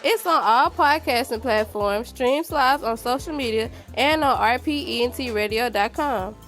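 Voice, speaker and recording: High-voiced woman in a podcast promo. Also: moderate pace, a bit bright, soft background music. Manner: chatty girlfriend-to-girlfriend pitch. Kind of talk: scripted podcast promo dialogue.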